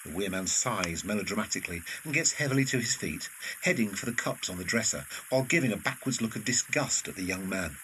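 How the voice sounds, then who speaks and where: Low-pitched male drama narrator. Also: lively intonation, quick pace, hardly any pauses, rough recording. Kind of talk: scripted narration of stage directions.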